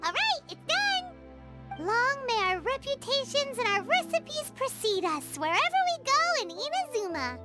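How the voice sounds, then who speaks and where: A woman's voice: sing-song, unhurried, loud music behind the voice, full character voice. A woman voicing a small, perky, food-loving fairy companion girl in an anime-style video game, childlike and blunt. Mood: excited. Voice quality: childlike, squeaky. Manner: exclamatory, refers to self in third person.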